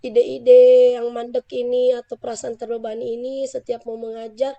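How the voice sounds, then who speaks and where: A woman teacher with a Central Sulawesi Indonesian accent, hoarse from a cold, politely and earnestly explaining her classroom struggles in a coaching conversation. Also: on the high side, monotone, normal speed, warm tone, lo-fi sound. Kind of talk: role-play coaching conversation.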